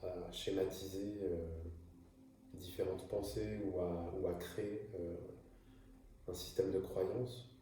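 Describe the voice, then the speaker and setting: A man from France gives a slow, hesitant talk full of fillers and restarts, with a low, flat voice. His tone is dark and mellow. He sits back from the microphone, and the room rings with heavy echo. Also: distant mic, slight background noise. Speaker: video creator. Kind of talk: improvised casual monologue. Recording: echoey room, voice from afar.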